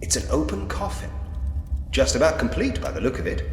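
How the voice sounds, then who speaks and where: A wry, echoing game investigator. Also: male voice, medium pitch, huge pitch swings, a little fast, noisy background. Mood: musing, curious. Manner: musing to himself, theatrical, wry. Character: inquisitive, wryly humorous male investigator in a Victorian ghost story. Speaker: player character in a point-and-click adventure game. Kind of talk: scripted game voice acting, first-person observations. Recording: echoey hall reverb, music underneath.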